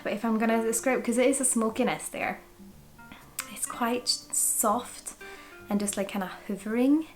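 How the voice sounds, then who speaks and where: A woman in her twenties from Sweden with a Swedish accent, chatting excitedly and thinking aloud as she reviews a whisky. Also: fairly high pitch, moderate pace, soft background music. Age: 20s. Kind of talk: casual tasting review.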